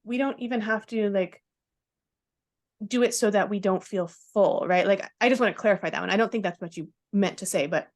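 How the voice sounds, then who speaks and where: Woman explaining carefully, mid-pitched. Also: fairly quick. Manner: careful, hedged explanation with checking "right?". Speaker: podcast guest, non-diet nutrition professional. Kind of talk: casual interview talk.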